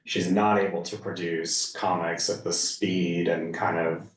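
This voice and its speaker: A man leading a casual group discussion, halting and hedging as he thinks aloud. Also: low-pitched, level tone. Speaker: reading group discussion leader. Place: indoor room at a group meeting. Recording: echoey room, distant mic.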